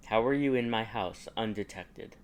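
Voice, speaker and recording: A man's voice: lowish voice, normal speed, close to an everyday voice. Man reading as haughty, sardonic young aristocratic wizard. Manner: dry, interrogating, formal. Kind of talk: audiobook character dialogue.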